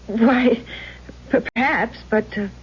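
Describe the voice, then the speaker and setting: An actress playing a polite, hesitant, easily charmed aspiring actress in an old-time radio drama, with fluttery, stammering delivery. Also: female voice, fairly high pitch, fairly even intonation, slow pace, breathy edge, exaggerated voice. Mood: hesitant, curious. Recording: dull old radio recording.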